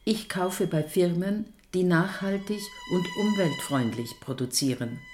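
Older woman in her 70s, calm, voice on the low side, with clear music behind her. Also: a little slow. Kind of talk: short scripted statement.

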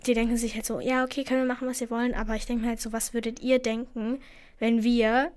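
Teenage girl, from Afghanistan, earnest, indignant, slightly high voice. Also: level tone, fast, a little mellow. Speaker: co-host. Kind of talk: casual chat.

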